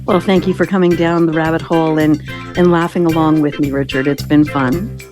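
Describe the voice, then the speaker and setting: A woman's voice: low-pitched, fairly even intonation, fairly quick, over music. A woman chatting casually as a podcast guest, with an amused, playful way of talking. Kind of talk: casual chat.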